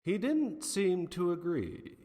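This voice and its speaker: A man playing a wry, deadpan 1940s private detective who narrates a noir radio drama. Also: high-pitched, takes it slowly, exaggerated voice. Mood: wry narrating. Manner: deadpan, wordy, wisecracking. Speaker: narrator and lead actor. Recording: long hall-like reverb.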